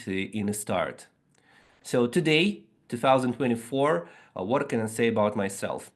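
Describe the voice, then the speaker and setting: A man in his thirties whose first language is Russian, giving an earnest motivational seminar talk over a video call, measured and pausing often. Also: medium pitch. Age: 30s. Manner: earnest, measured, with frequent pauses and fillers. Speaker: seminar speaker.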